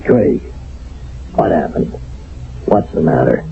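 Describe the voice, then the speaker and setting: A harried army captain in a radio drama. His low, gravelly voice deliberates haltingly yet keeps its authority, through a muffled old radio recording. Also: lively intonation, heavily acted. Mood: concerned. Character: hesitant, harried army captain, resigned to orders.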